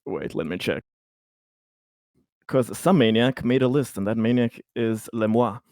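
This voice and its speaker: A man with a medium-pitched voice improvises tabletop roleplay in a casual tone. He speaks haltingly, stammering and restarting as he narrates what his character does. The sound is dry and close. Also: darkish tone, no echo. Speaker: tabletop RPG player.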